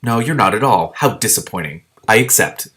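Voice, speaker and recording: A man's voice: on the low side, lively intonation, a little fast, fairly bright tone. A man voicing a fussy, easily offended male lizard in a storybook, speaking in fretful, dignified exclamations. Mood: huffy, dignified. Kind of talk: audiobook-style character reading.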